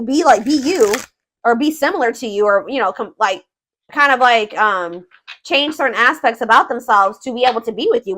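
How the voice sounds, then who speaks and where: High-voiced woman giving a casual tarot reading. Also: fairly bright tone, a little hiss or hum. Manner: informal, conversational, searching for words aloud, emphatic. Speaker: tarot reader, host.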